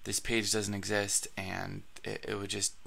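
A man hosting a screen-recorded blogging tutorial, his voice raspy from allergies, talking casually with plenty of fillers and restarts. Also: lowish voice, monotone, a little slow, pushed and bright.

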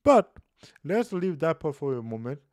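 A man's voice: on the high side, moderate pace, no room sound, clean studio sound. A man in his thirties explaining scripture casually in a video, gesturing as he talks. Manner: explanatory, animated hand gestures. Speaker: Bible teacher. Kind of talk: casual Bible study video. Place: small room indoors. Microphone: studio mic on boom, headphones.

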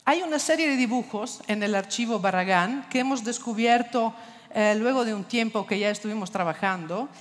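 A woman's voice: fairly high pitch, normal speed, big-room sound. A middle-aged Italian woman with an Italian accent, giving a conference lecture, hesitant with many fillers while searching for words. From Italy. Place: large dark auditorium.

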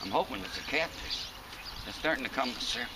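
A man filming himself fishing from a riverbank and talking casually about his catch, recorded on a camera mic outdoors with loud background noise. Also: medium pitch, fairly quick. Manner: excited, exclaiming over a big fish. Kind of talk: casual fishing vlog talk. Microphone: camera mic from a distance. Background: outdoor ambience, birds.

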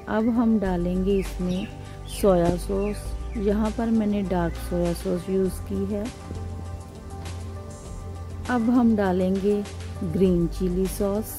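A woman hosting a cooking channel, giving a step-by-step recipe voice-over in short, instructive phrases. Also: medium pitch.

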